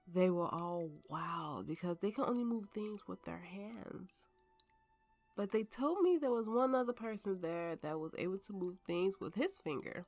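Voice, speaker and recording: A woman playing a tipsy, haunted woman with magical powers, telling her story slowly and haltingly in an intimate ASMR roleplay over music. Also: mid-range voice, no echo. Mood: reminiscing. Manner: halting, drunken rambling with frequent laughs. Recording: voice over soft background music.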